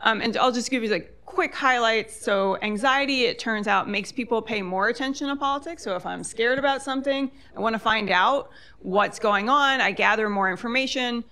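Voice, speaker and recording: A woman's voice: fairly high pitch, normal speed, sharp tone. A woman political science professor speaking on a panel, articulate and explanatory. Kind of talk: academic panel talk. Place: lecture hall with online audience.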